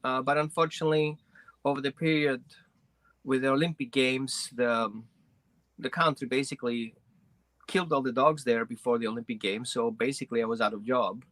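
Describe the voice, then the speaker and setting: Bright-voiced man from Greece. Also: medium pitch, normal speed, no echo. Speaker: guest, dog trainer. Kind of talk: personal storytelling in an interview.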